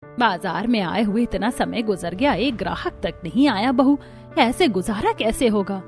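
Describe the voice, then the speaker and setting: A woman's voice: medium pitch, lively intonation, unhurried, a little mellow. A woman voicing an older, thrifty, kindly mother-in-law in a Hindi village cartoon. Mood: worried. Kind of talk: Hindi moral-story cartoon dialogue. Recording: music behind the voice.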